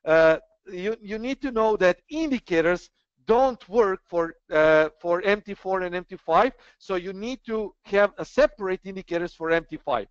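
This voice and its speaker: A man's voice: high voice, moderate pace, smooth, no room sound. A man hosting an online trading webinar, talking in an earnest, persuasive way with frequent hesitations. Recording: dull, dry online webinar audio.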